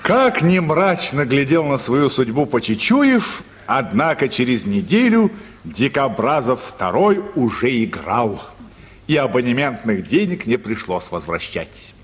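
A man's voice: medium pitch, very animated, unhurried, breathy edge. A man narrating a literary radio play as a dramatic storyteller, with long reverb around his voice.

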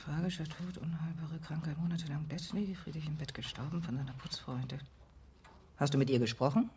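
A woman's voice: deep voice, steady tone, brisk, a little mellow, a little hiss or hum, natural voice. Brash, flirtatious middle-aged woman played by an actress. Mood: dry, sardonic. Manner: teasing, sardonic, blunt. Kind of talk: radio drama acting.

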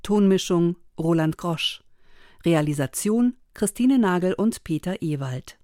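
A woman announcer reads radio credits calmly and evenly. Her voice is low and mellow and close-miked, with soft music underneath. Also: no echo. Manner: calm, measured announcing.

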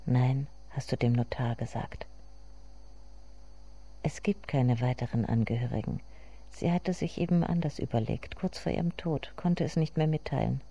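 An actress playing a bitter, grieving grown daughter who addresses herself in a measured, intimate radio monologue. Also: female voice, rumbling low, warm tone, dull audio. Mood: bitter, intense.

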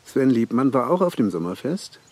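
A man's voice: mid-range voice, big pitch swings, moderate pace, darkish tone, no room sound. A man playing a wry, needling police detective who also coaches football, in a radio crime drama. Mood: sardonic. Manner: sardonic, probing.

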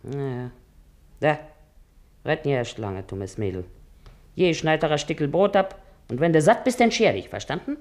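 A woman's voice: low voice, animated, normal speed, long pauses, darkish tone. An actress playing a domineering working-class mother in a radio drama, nagging and sarcastic in Berlin-area dialect. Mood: scolding.

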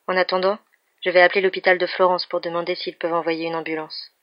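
A storybook narrator voicing a confident, bossy but kind young woman doctor with a brisk, professional manner. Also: medium pitch, level tone, no echo, lightly acted. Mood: calm, practical. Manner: professional, matter-of-fact, commanding. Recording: dull, muffled lo-fi recording.

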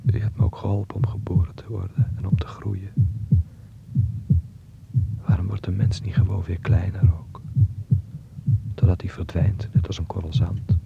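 In a muffled old radio play, an ailing, brooding boy who is afraid. The voice is low and hushed, creaky and halting, as if confiding in private. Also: level tone. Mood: frightened, pleading. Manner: whispery, halting, intimate. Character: sick, frightened teenage boy, earnest and brooding. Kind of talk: radio drama acting.

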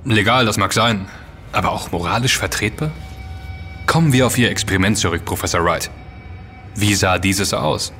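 A man's voice: on the low side, normal speed, pauses often, sharp tone, faint music behind. A man playing a probing investigator in an audio drama, speaking in a formal, composed and analytical manner. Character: measured, probing male investigator, formally polite. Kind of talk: German audio drama dialogue.

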